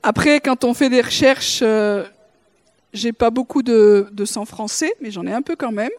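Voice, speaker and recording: A woman from France speaks at a church gathering, light and chatty, drawing the listeners in with questions. Her voice is a little high and the sound is dry. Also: measured pace, no room sound.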